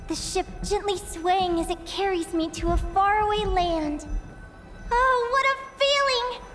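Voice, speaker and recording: Dreamy young woman, wistful, slow monologue, game character, soft music behind. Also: very high-pitched. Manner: wistful, slow, musing to herself.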